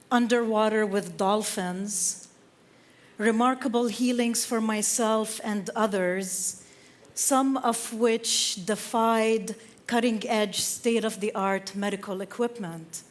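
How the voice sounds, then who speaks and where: A woman from Lebanon giving an inspirational stage talk, slow and measured with dramatic pauses between phrases. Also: medium pitch. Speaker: speaker. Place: theater stage.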